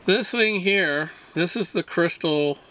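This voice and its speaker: Male hobbyist, unhurried and matter-of-fact, bright-edged voice, informal demo narration over some background noise. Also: high voice, low-quality recording. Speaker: hobbyist radio restorer. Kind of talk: informal hands-on demonstration narration.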